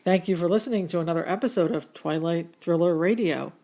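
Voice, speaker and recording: A woman announcer reading scripted radio show announcements and credits in a deliberate, presentational way. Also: lowish voice, mellow, a little hiss or hum.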